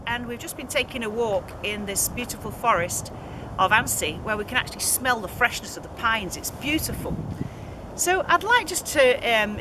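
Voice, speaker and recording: A woman hosting a casual on-location interview by a lake, chatty and hesitant with fillers. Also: a little high. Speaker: interviewer, ministry visitor. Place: outdoors by a lake and forest. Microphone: camera held by a companion. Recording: outdoor noise.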